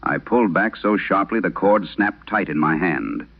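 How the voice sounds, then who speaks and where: A man voicing an eighty-one-year-old former telephone operator recounting her past in a measured, grave, literary way. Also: low-pitched, no room sound. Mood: uneasy, hushed. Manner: measured, grave, literary first-person storytelling. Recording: muffled band-limited old radio sound.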